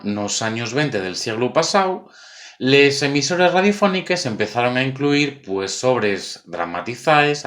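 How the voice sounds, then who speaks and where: A man's voice: medium pitch, a bit bright. A man with an Asturian accent hosting an informal explainer video, speaking in a conversational, wry way. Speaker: video host presenting local cultural history.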